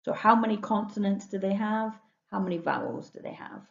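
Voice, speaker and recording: A woman's voice: mid-range voice, expressive, fairly quick, creaky. A woman, a primary school teacher, explaining home learning tasks in an instructional way and often checking understanding with questions. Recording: roomy echo, dull lo-fi sound.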